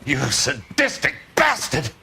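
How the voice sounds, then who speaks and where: An actor playing a wounded, furious crooked tycoon in pain in a video game. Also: male voice, medium pitch, huge pitch swings, slow pace, gravelly, over loud music, heavily acted. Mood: pained, outraged. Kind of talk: dramatic game voice acting.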